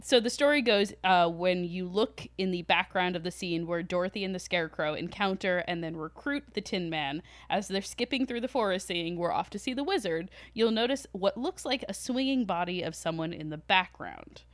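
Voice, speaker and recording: A woman's voice: on the low side, moderate pace, few pauses, dry recording. A young woman co-hosting a podcast, telling stories in a casual, informal way with some swearing. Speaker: podcast co-host. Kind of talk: casual storytelling chat.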